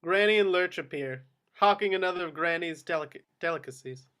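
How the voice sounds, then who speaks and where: Man, high-pitched voice, scripted narration, reading stage directions, table read. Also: brisk, fairly bright tone, smooth.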